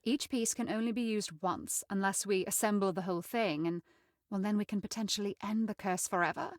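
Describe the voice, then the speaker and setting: A woman's voice: medium pitch, steady tone, a little fast, no room sound, clean studio sound, lightly acted. A woman playing a refined, haughty young lady of a mansion in a game, speaking in a prim, wry, articulate way. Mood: thoughtful explaining.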